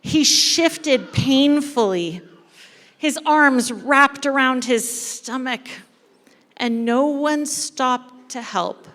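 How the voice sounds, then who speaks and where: A woman leading a live dramatic reading as a church storyteller, with playful, emphatic phrasing and pauses. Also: high voice, a bit bright. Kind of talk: animated storytelling to a live audience, with asides to participants. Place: large indoor church room. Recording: reverberant hall, live event sound.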